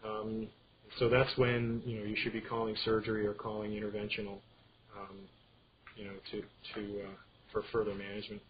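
A man giving an informal medical slide lecture, explaining things in a halting way. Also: lowish voice, completely flat delivery. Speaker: radiology lecturer. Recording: dull screen-capture audio with clear background noise.

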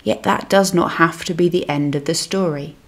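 A woman minister reading a scripted devotional reflection in a measured, solemn manner. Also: on the low side.

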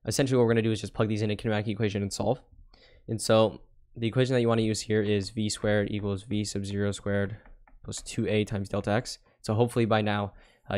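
A man's voice: fairly low pitch, darkish tone. A young man casually talking through a physics problem step by step on a digital whiteboard, often checking in with "right?" and correcting himself. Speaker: tutor.

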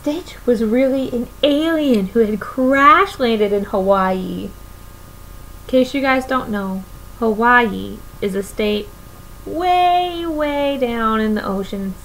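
A woman's voice: fairly high pitch, takes it slowly, fairly bright tone, slight background noise, poor audio. A young woman reading a picture book aloud to children expressively, often putting questions to her listeners. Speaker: children's story reader. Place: small room at home, indoors.

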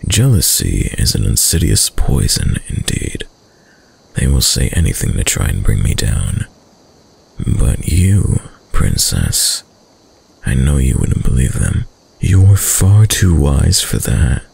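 A man performs a possessive, seductive prince of dark reputation for a roleplay audio drama. The voice is extremely deep and thick with gravel, breathy and husky. He speaks slowly and suavely, drawing out his words with hushed, dominant control. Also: very animated, heavily acted. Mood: smooth, coaxing. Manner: slow, hushed, suave, deliberate. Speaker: voice actor.

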